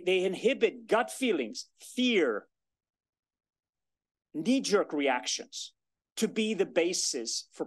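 A man in his thirties giving a formal keynote speech at a lectern in a conference room, filmed from across the room. He talks with emphasis and a deliberate pace, stressing key words. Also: high-pitched. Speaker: keynote speaker. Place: conference room, medium size, indoors. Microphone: standing at a lectern, filmed by a far camera.

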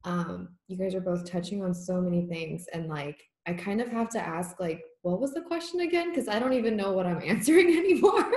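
A woman's voice: lowish voice, lively intonation, a little fast, hardly any pauses, darkish tone. A young woman from Massachusetts whose first language is Korean, chatting at home over a video call in a rambling way, laughing often and using many filler words. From United States, Massachusetts. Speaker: conversation guest. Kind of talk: casual reflective chat. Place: home room. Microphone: video call laptop mic. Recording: roomy echo on a video call.

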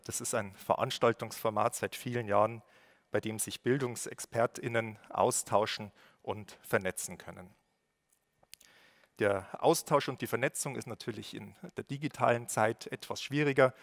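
Bavarian man giving a formal welcome. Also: mid-range voice. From Lower Bavaria. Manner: measured, read-out delivery with occasional fillers. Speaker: city education official giving a welcome speech. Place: stage at a lectern, indoors. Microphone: lectern microphone.

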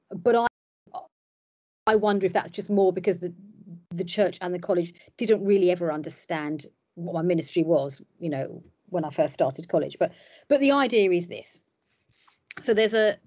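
Middle-aged woman, Cypriot Greek first language, lowish voice, rambling self-deprecating chat, muffled video call sound. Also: moderate pace, darkish tone. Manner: chatty and self-deprecating, with many fillers and restarts. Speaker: ordinand and hairdresser. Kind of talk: casual interview on a video call. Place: home room by a window. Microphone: laptop webcam on a video call. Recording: dull lo-fi video call audio.

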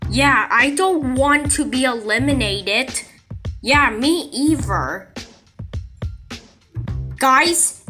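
High bright woman voicing amateur cartoon dialogue.

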